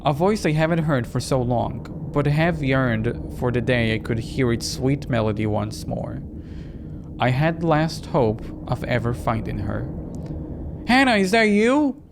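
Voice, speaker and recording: Casting: horror visual novel narrator, gravelly fry, unhurried suspenseful first-person reading, faint noise in the background. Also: medium pitch. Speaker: game narrator and reader.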